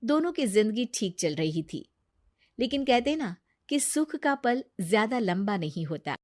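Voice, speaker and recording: A woman with a fairly high voice narrates a moral story. She reads from a script as the storyteller. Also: big pitch swings, measured pace, darkish tone.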